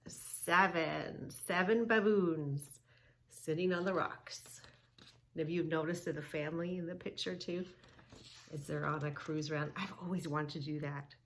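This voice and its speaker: A woman hosting a children's yoga read-along, teaching in an emphatic, sing-song way with drawn-out key words and questions. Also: fairly low pitch, a bit bright, a little hiss or hum. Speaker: children's yoga story-time host. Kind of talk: read-along lesson for young children, narrating and instructing.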